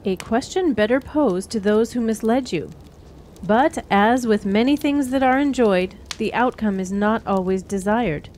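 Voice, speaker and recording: Woman playing wise witch, cryptic, sorrowful, measured archaic phrasing, fantasy audio drama, faint background noise. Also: a little high, a little mellow, close to an everyday voice. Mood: knowing, grave. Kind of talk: fantasy radio drama acting.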